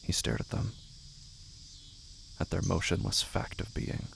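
A man giving a scripted, dramatic narration of a horror story in a deliberate, intense manner. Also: low-pitched, very animated, gravelly, background noise. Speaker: narrator of a horror fiction podcast.

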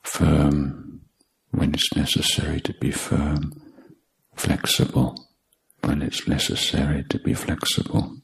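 Male meditation teacher, extremely low gravelly voice, measured delivery in short phrases with long silences. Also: flat delivery, rough recording. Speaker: meditation teacher, Buddhist monk. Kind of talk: guided meditation instruction.

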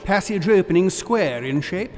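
A man playing a composed, commanding gentleman expedition leader in a radio drama, precise and authoritative. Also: sits high, lively intonation, moderate pace, music in the background. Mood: focused. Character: composed, commanding British knight leading an archaeological survey. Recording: long echoing reverb.

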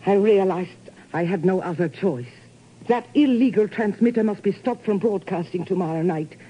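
A woman's voice: medium pitch, moderate pace, dark tone, slightly rough, natural voice, poor audio. An actress playing an earnest, guarded woman informant in a 1950s radio spy drama. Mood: guarded, grave.

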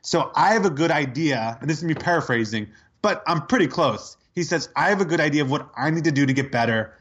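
Male co-host, casual sports chat, animated and laughing, a touch high. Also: fast talker. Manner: animated, incredulous, laughing storyteller. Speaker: podcast co-host.

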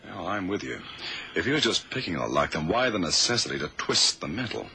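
An actor playing an eager investigator guessing at clues in a radio drama. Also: male voice, deep voice, very animated, a little fast, bright, forceful tone, exaggerated voice. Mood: puzzled, eager. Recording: 1970s radio drama, faint noise.

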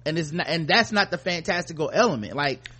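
A man's voice: a little high, animated, fairly quick, bright, forceful tone. An American man hosting a podcast, talking informally and opinionatedly, with rambling runs and casual profanity. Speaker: podcast host.

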